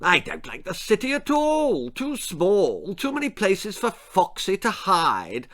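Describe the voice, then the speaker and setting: Man putting on a high, plummy lady. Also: huge pitch swings, slow pace, a bit bright, exaggerated voice. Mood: tired, complaining. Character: posh upper-class English lady, jolly horsey snob. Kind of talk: children's storybook character voice.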